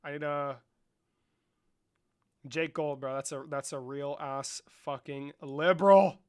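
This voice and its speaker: A man hosting a livestream, talking casually and mockingly with lots of swearing, jumping between loud outbursts and mumbles. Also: fairly high pitch, fairly even intonation, a little slow, clear, resonant tone. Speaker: livestream host. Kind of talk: casual livestream chat and debate.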